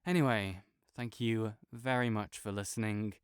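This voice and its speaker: A man's voice: lowish voice, fairly even intonation, normal speed, clean tone, no echo, studio quality. A man giving a casual, understated, self-deprecating sign-off to his listeners as an audio creator.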